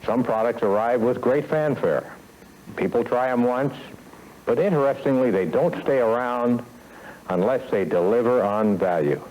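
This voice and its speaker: An American man delivering a scripted sales training lecture as a company executive, in a measured, formal manner with emphatic phrasing and deliberate pauses, on a 1970s film soundtrack. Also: mid-range voice, dull audio, a little hiss or hum.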